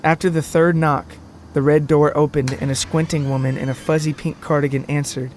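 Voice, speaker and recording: A man narrating a scripted comic story in a wordy, deadpan manner. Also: on the high side, fairly quick, slight background noise.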